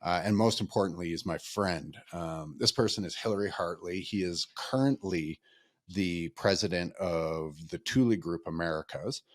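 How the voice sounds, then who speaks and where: Low-voiced podcast host guy, rambly and friendly, lots of ums, dry sound. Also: animated, moderate pace, no room sound. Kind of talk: casual interview chat.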